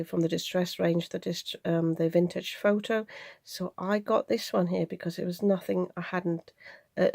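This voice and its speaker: A woman's voice: lowish voice, vocal fry, a little mellow, dry recording, studio quality. A woman chatting casually and a little hesitantly as she presents craft supplies in a show-and-tell video. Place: indoors at a craft desk.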